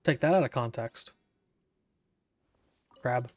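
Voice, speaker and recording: A man's voice: mid-range voice, slow, no echo. A man giving casual, sarcastic, irreverent gameplay commentary with plenty of swearing. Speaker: game streamer.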